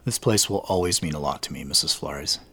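An actor playing a troubled, polite businessman who narrates an audio drama in a measured, thoughtful way. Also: very deep voice, pushed and bright, a little hiss or hum, exaggerated voice. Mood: polite, subdued.

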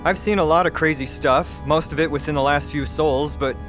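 Low female voice for sci-fi audio drama, acted: blunt, sarcastic, cynical colony crewmate who defies authority, prominent music bed. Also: a little fast, close to an everyday voice. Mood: skeptical. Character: blunt, cynical colony crew member defying the commander.

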